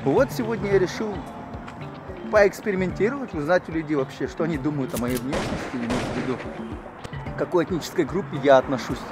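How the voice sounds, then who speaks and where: A man's voice: fairly high pitch, quick pace. A young man from Kazakhstan, hosting upbeat street interviews on a city street and laughing often. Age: young adult. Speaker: vlogger host. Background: music.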